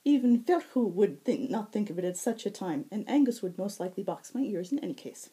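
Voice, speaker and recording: A woman's voice: medium pitch, lively intonation, a little fast, dark tone, voice pushed up, lightly acted. A woman voicing a playful, teasing male fox fairy herald with courtly, flowery phrasing and a silvery tone, in a fantasy story reading. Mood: amused, teasing.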